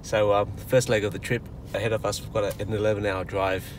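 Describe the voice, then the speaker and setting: A man in his forties chatting casually and haltingly to camera as a travel vlogger. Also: lowish voice, flat delivery. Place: mostly inside a car, sometimes outdoors. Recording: very noisy car and outdoor ambience.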